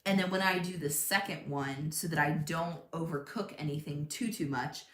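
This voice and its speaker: A young woman hosting a live crafting stream from her home craft room, chatting casually, thinking aloud, laughing often and reading out viewer comments in a loud voice. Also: deep voice, moderate pace, reverberant. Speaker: crafting livestream host. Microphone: tablet mic across the room.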